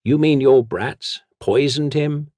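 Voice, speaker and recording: A man's voice: lowish voice, a little slow, vocal fry, darkish tone, no echo, studio quality, voice pushed up. Frightened, blustering old nobleman in a storybook, voiced by a male reader.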